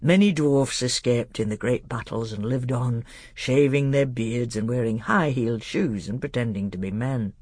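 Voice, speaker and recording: A narrator acting a grave old half-dwarf tutor, husky. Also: medium pitch, darkish tone, no room sound, studio quality, close to an everyday voice. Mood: solemn. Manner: grave, deliberate, heartfelt. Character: old, small, fat, bearded half-dwarf tutor, solemn.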